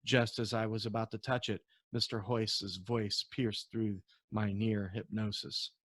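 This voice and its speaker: A man who is an author reading his novel aloud as storybook narration, in an expressive storytelling manner with occasional stumbles. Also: on the low side, measured pace, dry recording, clean studio sound.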